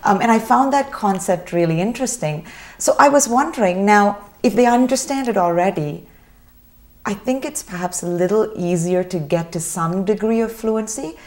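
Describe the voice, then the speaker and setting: Lady hosting a tv panel, mid pitch, kinda hesitant, lots of ums while she thinks out loud. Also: moderate pace. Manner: thoughtful, hedging questions with many fillers. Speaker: TV talk show host. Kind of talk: moderating a panel discussion.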